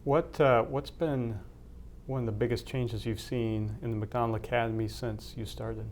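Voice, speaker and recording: Man interviewing, halting with many fillers. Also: medium pitch, steady tone, a little mellow, a little hiss or hum. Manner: hesitant, many "uh"s, polite. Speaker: interviewer, university leader. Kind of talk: formal interview questions.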